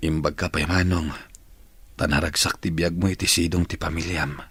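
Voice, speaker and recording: Husky, low-voiced ailing older father. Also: little pitch movement, moderate pace, a bit bright, no echo. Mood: regretful. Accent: Filipino. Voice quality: husky, rough. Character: ailing, regretful older father, longing for his family. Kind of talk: radio drama acting.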